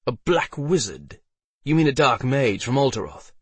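A man's voice: lowish voice, moderate pace, a bit bright, no echo, far from the mic. Proud knight for a fantasy storybook, read by a man: stern, demanding, formal, menacing. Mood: stern, threatening. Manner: commanding, formal. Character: proud, furious male knight, demanding and threatening.

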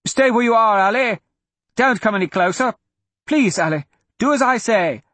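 A man's voice: high voice, expressive, moderate pace, a bit bright, no echo, pitched above the performer's own voice. A man voicing a frightened, protective father and con man in a storybook, with urgent, pleading delivery. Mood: desperate pleading.

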